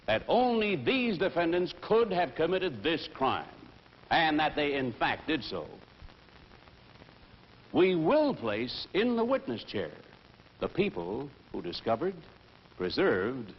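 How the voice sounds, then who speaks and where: An actor playing a formal, assured prosecuting attorney, delivering a deliberate courtroom speech in a 1960s training film. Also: male voice, on the high side. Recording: dull 1960s film soundtrack, long reverb, hiss.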